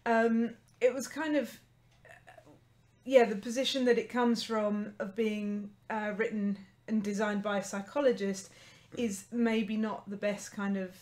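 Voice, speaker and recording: A woman with an English accent co-hosting a casual livestream, chatty and playful, quick to agree. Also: medium pitch, level tone, darkish tone. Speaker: co-host.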